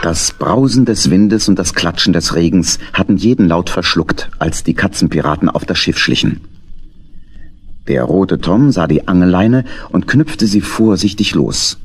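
A man's voice: low voice, lively intonation, a little slow, slightly rough. A man narrating a children's audio drama as a scripted storyteller.

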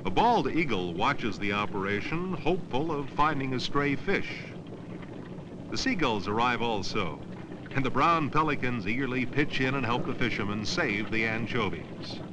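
A man reads scripted educational documentary narration in a measured, declarative way, in the style of around 1970. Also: medium pitch, expressive. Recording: noisy old educational film soundtrack.

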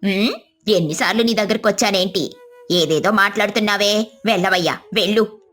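A woman's voice: medium pitch, normal speed, a bit bright, voice pushed down, lightly acted. Female narrator as scornful village woman, scolding, dismissive, blunt, moral storybook tale, faint music. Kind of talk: moral story character voices.

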